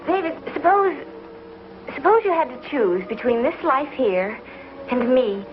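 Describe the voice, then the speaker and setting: An actress playing a homesick young pioneer wife, wistful and restless, in 1950s television western style. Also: female voice, high voice, animated, a little slow, slight background noise. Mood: troubled. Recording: dull 1950s TV soundtrack with music.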